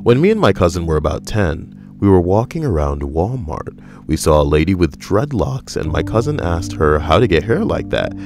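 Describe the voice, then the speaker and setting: An extremely deep male voice, dry and deadpan, reading odd stories aloud with rambling jokes between them. Faint music plays softly underneath him. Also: moderate pace, hardly any pauses. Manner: deadpan, wry, rambling asides. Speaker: podcast co-host reading internet stories. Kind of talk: casual joking commentary.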